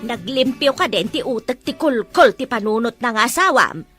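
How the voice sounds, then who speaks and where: A woman's voice: on the high side, animated, normal speed, a bit bright, soft background music. A woman acting a scolding, disapproving mother in a drama. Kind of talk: Ilocano-language drama dialogue.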